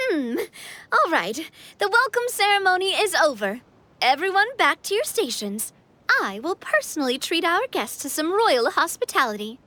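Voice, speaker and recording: A woman's voice: very high voice, sing-song, measured pace, bright, forceful tone, exaggerated voice. An actress playing a confident, cheerful young woman with a breezy, upbeat, theatrical manner in a video game. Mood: breezy authority. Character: confident cheerful young woman, a playful self-made king. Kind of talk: scripted English video game voice acting. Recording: music playing behind the voice.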